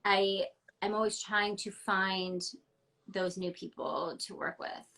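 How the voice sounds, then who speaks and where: A woman's voice: mid-range voice, steady tone, takes it slowly, bright, forceful tone. Woman on a video call from home, candid, emphatic, lots of likes and ums. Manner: candid and emphatic, many fillers like "like" and "um". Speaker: interview guest, ballet dancer. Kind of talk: casual interview over a video call. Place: home, ground floor apartment.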